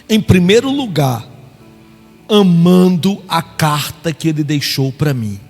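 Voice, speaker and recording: A middle-aged man from Brazil, preaching a live sermon with fervent exclamations of praise. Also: high-pitched. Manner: impassioned, exclaims aleluia often. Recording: reverberant hall with faint music.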